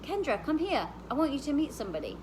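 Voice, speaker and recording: A woman's voice: sits high, big pitch swings, fast, noisy background, voice pushed up. A woman reading the part of an easygoing, folksy farmhand who gives plain instructions in a storybook.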